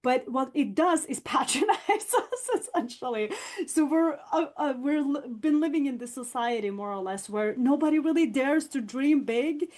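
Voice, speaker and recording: A young woman hosts a relaxed podcast chat. Her voice sits a little high. She talks openly and loosely, with plenty of fillers. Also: moderate pace. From Norway. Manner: chatty and open, frequent fillers, light laughs. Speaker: podcast host. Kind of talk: casual podcast conversation.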